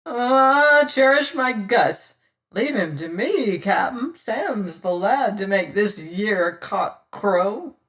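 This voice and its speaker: A reader voicing a fat, cruel male pirate boatswain with a high-pitched, squealing voice, wailing and pleading. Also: animated, extremely slow, fairly bright tone. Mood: gloating. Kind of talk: audiobook character voice.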